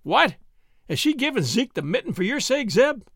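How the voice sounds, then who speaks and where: A man doing a cheeky little soldier who pipes up in a high, teasing voice that leaps about. The sound is dry and close. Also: big pitch swings, moderate pace, a bit bright, husky, no room sound, exaggerated voice. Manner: piping, teasing. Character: small young male soldier, cheeky and piping.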